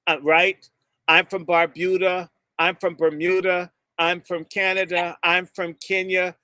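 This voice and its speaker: High, bright, fiery male speaker. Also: flat delivery, a little slow, dry recording. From United States. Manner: fiery and emphatic, drawing out key words; blunt and insulting, jumps between topics. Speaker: speaker addressing a gathering. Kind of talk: impassioned speech to a live audience.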